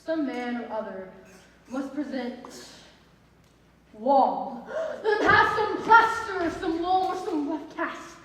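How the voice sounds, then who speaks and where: A woman's voice: sits high, takes it slowly, fairly bright tone. A female student actor performing Shakespeare theatrically in a school stage play. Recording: echoey hall from far off, faint noise.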